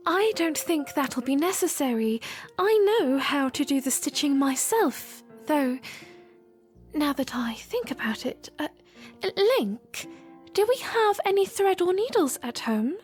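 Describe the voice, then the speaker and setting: A woman playing an earnest, gentle young princess with polite, hesitant phrasing in a fan audio drama. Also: sits high, sing-song, unhurried. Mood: earnest, reluctant. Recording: music under the voice.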